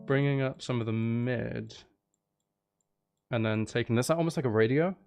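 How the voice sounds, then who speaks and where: A man's voice: mid-range voice, moderate pace, vocal fry. A man chatting casually on a live stream as he makes music, geeky and playful, thinking aloud in a rambling way.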